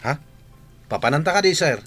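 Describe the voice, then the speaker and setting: A man's voice: fairly high pitch, lively intonation, a little fast, fairly bright tone, faint music behind. A man acting as a nosy, easily surprised driver talking to his boss in a radio comedy, given to exclamations.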